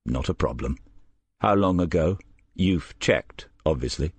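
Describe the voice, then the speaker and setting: A man's voice: a bass voice, expressive, unhurried, breathy edge, dry recording, full character voice. A man voicing a terse, probing male detective in an audiobook. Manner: terse, questioning.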